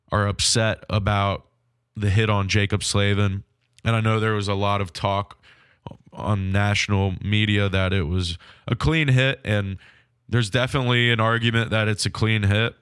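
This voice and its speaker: A man hosting a sports podcast on his own, sounding nasal from a cold, talking through a game in a frank, measured way. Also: fairly low pitch, fairly bright tone, clear, resonant tone, no echo, studio quality. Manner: frank, measured hockey analysis. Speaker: podcast host. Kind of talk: solo sports podcast recap.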